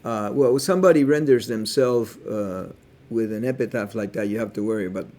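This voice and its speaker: A man's voice: lowish voice, fairly quick, darkish tone. A man from Cuba co-hosting a podcast, speaking earnestly and thinking aloud with hedges. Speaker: podcast co-host. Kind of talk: casual podcast chat.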